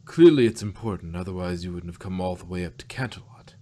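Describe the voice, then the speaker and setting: A man voicing a patient, tired pony princess and wise mentor, speaking in a measured, formal way for a fan fiction reading. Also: sits low, warm tone. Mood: calm, patient.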